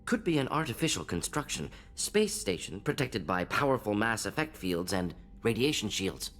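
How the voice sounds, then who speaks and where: An actor playing a pragmatic squad member in a video game, clipped and analytical. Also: male voice, on the low side, very animated, moderate pace, fairly bright tone. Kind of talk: dramatic video game dialogue.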